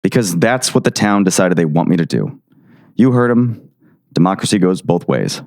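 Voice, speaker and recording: A man's voice: low voice, sing-song, normal speed, a little mellow. A man playing a weary, exasperated small-town sheriff in a radio drama. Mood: resigned, exasperated.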